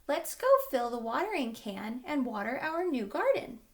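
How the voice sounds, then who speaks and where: Woman reading as an encouraging, animated storybook mother. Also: sits high, normal speed. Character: encouraging, practical mother gardening with her daughter.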